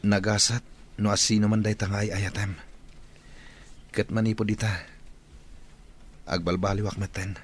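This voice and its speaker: A man with an Ilocano accent acting an excitable, good-natured young village son in a radio drama. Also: on the low side, normal speed, long pauses, a little hiss or hum, natural voice. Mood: subdued.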